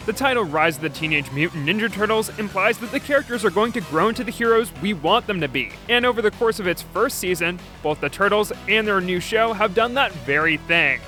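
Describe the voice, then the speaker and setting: A man's voice: high voice, animated, a little fast, few pauses, bright, forceful tone. A man reading a scripted video review as its host and narrator. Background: background music.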